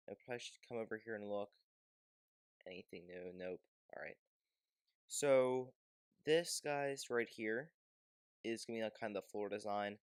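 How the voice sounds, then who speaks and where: A man with a fairly low voice hosts a gaming video. He talks casually and loosely, rambling and hesitating as he explains things. The recording is dry, with very little room sound. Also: clean tone, dry recording. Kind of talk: casual game video commentary.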